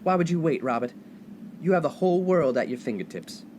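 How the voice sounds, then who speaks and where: A man's voice: fairly high pitch, expressive, brisk, a little mellow, slight background noise, close to an everyday voice. An actor playing a smug, glib male college freshman from a privileged background in a comedic 1950s radio play. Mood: smug, breezy.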